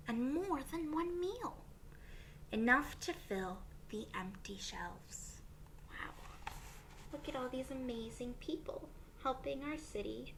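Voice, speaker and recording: A woman reading a picture book aloud to young children as a teacher would, expressively, with pauses and questions for her listeners. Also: sits high, a little hiss or hum, poor audio.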